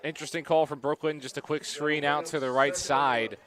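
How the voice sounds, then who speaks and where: Color analyst on a headset, slightly higher voice, relaxed, chatty breakdowns. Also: male voice, fairly quick. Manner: easygoing, chatty analysis with filler phrases. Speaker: color commentator. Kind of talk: live sports color commentary.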